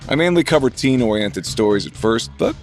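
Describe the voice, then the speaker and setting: A man's voice: mid-range voice, lively intonation, brisk, faint music behind, natural voice. A man playing an earnest, friendly TV journalist in his twenties in conversational audio drama. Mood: candid, curious. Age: 20s. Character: 27-year-old male TV journalist, earnest and friendly.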